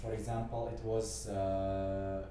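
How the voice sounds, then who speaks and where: A young man from Tajikistan, whose first language is Tajik, talks with a mellow, dark tone. He pauses and reaches for words, filling gaps with "uh" and "like". He sits off-mic in a very echoey room. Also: on the low side, flat delivery, far from the mic, a little hiss or hum. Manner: halting second-language speech with many fillers. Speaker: guest. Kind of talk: casual interview chat.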